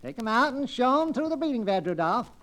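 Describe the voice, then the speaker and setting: A stubborn business partner, blunt and gruff. His voice has plenty of up-and-down lift in it. The scene is classic 1940s radio drama. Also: very high voice, very animated, a little fast, fairly bright tone, full character voice.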